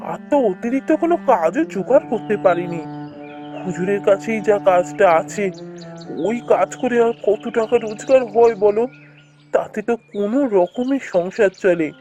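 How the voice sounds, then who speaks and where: A man's voice: high-pitched, mellow, natural voice. A man voicing a poor, worried village labourer in a cartoon fairy tale, humble and plaintive.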